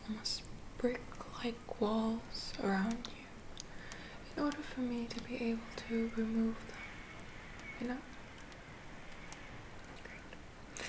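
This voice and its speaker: A woman playing a gentle, reassuring witch healer in a soft whispered ASMR roleplay, soothing and a little hesitant. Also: fairly high pitch. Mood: comforting. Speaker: ASMR performer.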